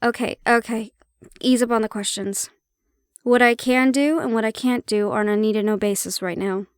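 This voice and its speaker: A woman's voice: on the high side, fairly even intonation, moderate pace, smooth, no echo, clean studio sound, close to an everyday voice. A woman playing a prickly, guarded banshee-siren hybrid in an intimate audio roleplay, explaining herself conversationally with some teasing.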